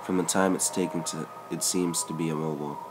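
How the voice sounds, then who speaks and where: A man reading a first-person story aloud in a measured, even narration. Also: extremely low pitch, noisy background. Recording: music bed underneath. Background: background music.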